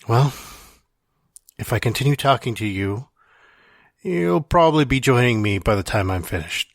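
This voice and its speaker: A man's voice: mid-range voice, lively intonation, normal speed, gravelly, dry recording, studio quality. A man playing a wry, confessional dying man reading out his farewell letter in an audio drama. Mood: gentle, wry farewell. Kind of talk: dramatic monologue in fiction podcast.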